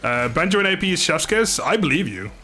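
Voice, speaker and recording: A man's voice: a little high, big pitch swings, fairly quick, pushed and bright. A man hosting a live game stream, chatting casually and jokingly with his viewers and often laughing. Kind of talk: casual live gaming commentary and chat.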